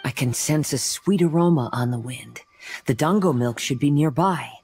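A young man voicing a gentle, composed wandering swordsman in an anime game, soft-spoken and poetic, like a storyteller. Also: on the high side, normal speed, faint music behind, close to an everyday voice. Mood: calm, attentive.

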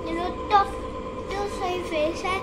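A woman's voice: extremely high pitch, steady tone, slow, heavy background noise. A young girl playing a vain little daughter in a home-made story, whiny and insistent. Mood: dismissive. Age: child, under twelve. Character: vain, carefree little daughter who loves makeup.

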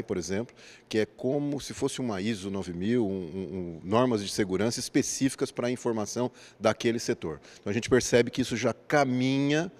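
A man in his forties, a guest business partner, giving measured interview answers with everyday examples into a handheld mic in a large indoor hall. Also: medium pitch, darkish tone. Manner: measured, explanatory, uses examples.

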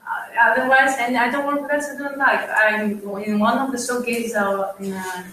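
Woman panelist, bright tone, rambling, far off in a reverberant hall. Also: a little high, fairly even intonation, normal speed, slight background noise. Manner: rambling, restarts and fillers. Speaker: panel speaker, music manager. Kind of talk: panel discussion. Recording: very echoey distant live feed with faint ambience.

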